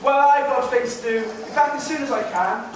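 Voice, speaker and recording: A woman's voice: high voice, moderate pace, lightly acted. A woman playing a plucky, scheming pantomime heroine, exclaiming asides to the audience. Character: plucky young heroine plotting a disguise as a man. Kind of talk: pantomime stage acting. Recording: echoey hall, distant mic, background hiss.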